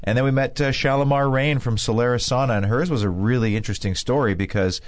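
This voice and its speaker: A man's voice: mid-range voice, fast talker, dry recording, studio quality. A man hosting a radio health show, recapping guests and reading plugs with many fillers. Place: radio studio.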